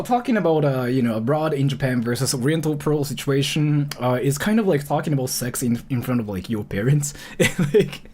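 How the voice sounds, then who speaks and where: A man's voice: mid-range voice, darkish tone, breathy edge. A man in his thirties chatting casually as a livestream host, rambling and often correcting himself mid-thought. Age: 30s. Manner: rambling, halting, self-correcting, peppered with filler. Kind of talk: casual livestream chat and reaction commentary.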